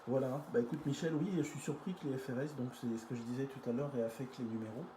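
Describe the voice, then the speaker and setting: Male radio amateur, halting casual talk, echoey room, clear background noise. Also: mid-range voice, fairly even intonation. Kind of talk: casual radio group chat.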